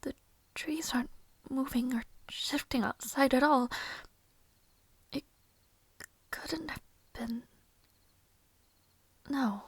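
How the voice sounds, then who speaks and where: Very high, breathy young maid, voiced by a woman. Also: slow, pauses often, dry recording, full character voice. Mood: uneasy, nervous. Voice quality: soft, breathy, often near whisper. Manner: hesitant, formal old-fashioned phrasing, self-doubting. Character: gentle, anxious, lovelorn young maid in a fantasy romance. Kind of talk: intimate audio roleplay acting.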